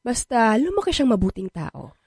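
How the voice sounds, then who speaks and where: A woman's voice: a little high, very animated, a little mellow, husky, dry recording, clean studio sound, heavily acted. An actress playing a playful, persistent young woman in a radio drama, coaxing and teasing, with a Filipino accent. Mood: earnest. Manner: coaxing, teasing, drawn-out words. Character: playful, persistent, sweet-talking young woman wanting a baby.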